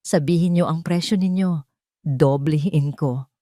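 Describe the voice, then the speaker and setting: A woman's voice: low-pitched, measured pace, dark tone, dry recording, clean studio sound. A woman voicing an arrogant male billionaire in an audio drama, blunt, clipped and coolly commanding.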